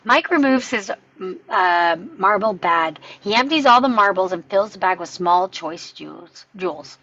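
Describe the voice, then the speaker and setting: A woman with a slightly husky voice reading scripted stage directions as narration at a table read, in dull sound. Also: mid-range voice, animated, normal speed, bright, forceful tone.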